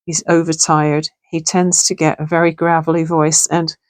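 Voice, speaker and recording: A middle-aged woman talks through a craft project in a relaxed, chatty way, musing aloud as she works. Her voice sits fairly low and sounds gravelly and a little hoarse. The sound is close and dry, with almost no room around it. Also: normal speed, no echo. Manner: chatty, thinking aloud while working. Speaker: crafting channel host. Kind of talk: casual crafting tutorial chat. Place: home sewing room.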